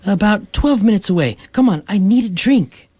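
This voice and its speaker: A woman playing a brisk, insistent, deadpan alien posing as a human friend in a radio comedy, with a husky voice. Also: medium pitch. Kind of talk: radio comedy drama acting.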